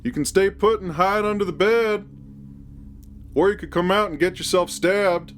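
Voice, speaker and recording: A man's voice: sits high, normal speed, pitched above the performer's own voice, close to an everyday voice. A man voicing a drunk, crude small-town thug who taunts in a mushy, bellowing way. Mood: jeering, menacing. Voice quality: mushy, slurred. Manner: crowing, bellowing, rural slang.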